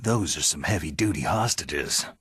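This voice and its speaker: An actor playing a gruff, skeptical ex-soldier in a video game cutscene, with a terse delivery and a heavy rasp. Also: male voice, very deep voice, lively intonation, moderate pace, fairly bright tone, no echo, heavily acted. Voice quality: very husky, gravelly rasp. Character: gruff, defiant retired special-forces soldier on a covert mission.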